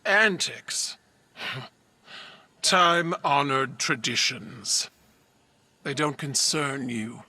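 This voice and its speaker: Slow, forceful alien war leader. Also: male voice, mid-range voice, lively intonation, full character voice. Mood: haughty, persuasive. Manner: deliberate, haughty. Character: proud, imperious alien war leader negotiating with enemies. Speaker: video game character voice. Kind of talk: dramatic cinematic game dialogue. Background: background music.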